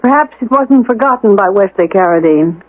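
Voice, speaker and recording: An actress playing a cool, vengeful housekeeper in an old-time radio drama, controlled and pointed in manner. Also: female voice, medium pitch, animated, normal speed, phone-quality sound, natural voice. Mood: relentless, commanding. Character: cool, insistent, accusing woman, secretly vengeful housekeeper. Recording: thin, noisy vintage radio recording.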